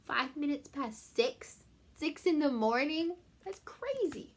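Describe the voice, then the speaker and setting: A woman's voice: sits high, expressive, takes it slowly. A woman teacher reading a children's storybook aloud, expressively, with chatty asides and simple explanations for her pupils.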